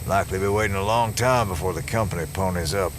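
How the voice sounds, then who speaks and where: An actor playing a gruff, steady small-town American sheriff with a plain-spoken, folksy manner in an audio drama. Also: male voice, deep voice, fast talker, a little hiss or hum, lightly acted. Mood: wry, grumbling. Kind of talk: full-cast audio drama acting. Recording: music bed under the dialogue.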